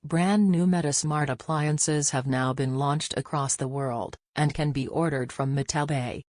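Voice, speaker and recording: A woman's voice: low-pitched, steady tone, a little slow, darkish tone, no room sound, clean studio sound. A woman narrating a scripted product advertisement as a voice-over with a synthetic-sounding delivery.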